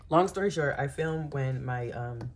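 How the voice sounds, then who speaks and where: Woman, 30s, planning-channel host; very low voice, relaxed rambling chat, slightly stuffy from a cold. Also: measured pace, soft background music. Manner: chatty, many ums, Southern-style "y'all". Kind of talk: casual voice-over while digital planning.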